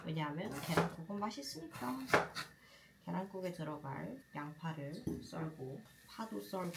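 A woman's voice: fairly low pitch, creaky, a bit bright, a little hiss or hum. A young woman with a Korean accent, chatting casually while she cooks and eats at a table at home. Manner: chatty, mixes in Korean phrases. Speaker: cooking vlogger. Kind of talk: casual cooking chat. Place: home kitchen table, indoors. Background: frying and sizzling from the pan.

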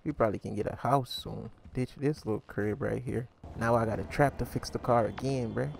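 A man's voice: medium pitch, fairly quick, vocal fry, a little mellow, slight background noise. A man giving casual, slang-heavy commentary while playing a crime role-play game, calling his viewers gang. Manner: slangy, laid-back, calls viewers gang. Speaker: gaming YouTuber playing a role-play series.

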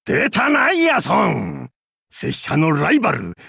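A middle-aged, nerdy pirate from an anime game. He is goofy and slightly creepy, talking in theatrical slang. His raspy voice leaps extremely in pitch, in a dry recording. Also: wildly swooping pitch, slow pace, pushed and bright, no room sound, full character voice. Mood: mock dramatic. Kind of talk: anime game character lines.

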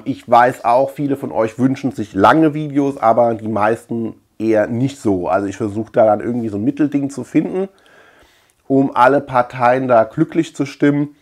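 A man's voice: mid-range voice, a little mellow. A man chatting casually as a video host, rambling colloquially and repeating words for emphasis. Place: small room at home lined with shelves.

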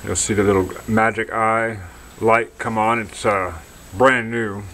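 A man giving a casual, halting product demonstration from behind a hand-held camera indoors, as if selling something. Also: fairly low pitch, level tone, a bit bright, a little hiss or hum. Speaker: seller demonstrating a restored vintage radio signal tracer. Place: dim indoor room, speaking from behind the camera.

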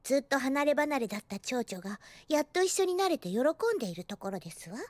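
A woman's voice: high-pitched, sing-song, quick pace, darkish tone, no echo. A woman playing a young girl in anime, cheeky and guarded, with affectedly ladylike, prim phrasing. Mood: polite, bright. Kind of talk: anime drama dialogue.

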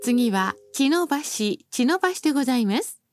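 High-pitched woman making formal bus announcements. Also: lively intonation, normal speed, no echo, clean studio sound. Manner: formal, polite, carefully enunciated. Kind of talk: scripted recorded bus announcements.

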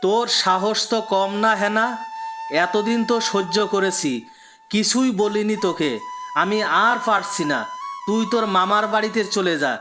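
A man's voice: sits high, moderate pace, music in the background, natural voice. A man voicing a stern, weary father in a cartoon drama. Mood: stern, hurt. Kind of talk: cartoon voice acting.

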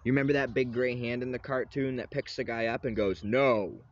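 Casting: loud, agitated young man venting into a phone camera in his car, muffled audio. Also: medium pitch, fairly even intonation, a little fast, slight background noise. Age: young adult. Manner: loud, agitated, rambling rant. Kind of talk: ranting selfie vlog. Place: inside a parked vehicle. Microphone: phone at arm's length.

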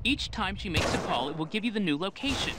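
Casting: businesslike game tech expert, lively intonation, loud noise behind. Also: male voice, sits high, quick pace. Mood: instructive. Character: businesslike gadget expert giving instructions. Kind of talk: video game cutscene acting.

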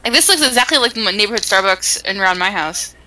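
A woman's voice: medium pitch, quick pace, low-quality recording. A woman bantering casually and reactively as a player on a game stream. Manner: animated, reactive.

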